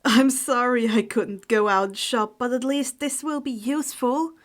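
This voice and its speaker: Earnest teen boy wizard, voiced by a woman, kind and apologetic, fantasy storybook, higher voice. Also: moderate pace, lightly acted. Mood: warm, sheepish.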